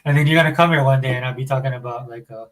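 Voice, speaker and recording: A man's voice: medium pitch, rapid-fire. A man hosting a casual podcast chat, rambling with many fillers and restarts. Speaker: podcast host.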